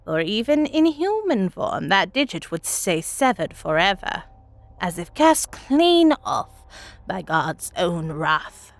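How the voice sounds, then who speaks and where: A woman voicing a very elderly village woman, telling a grim tale from her childhood in a folksy, deliberate, dramatic manner. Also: fairly low pitch, big pitch swings, no room sound, heavily acted. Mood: wry, ominous. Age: old woman as played. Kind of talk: dramatic first-person storytelling.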